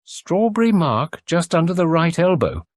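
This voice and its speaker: A man's voice: fairly high pitch, big pitch swings, moderate pace, a little mellow, no room sound, studio quality, voice pushed up. A man voicing a chatty kindly farm wife. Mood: matter-of-fact. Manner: homely rural speech, chatty. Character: kindly good-tempered farm woman, middle-aged.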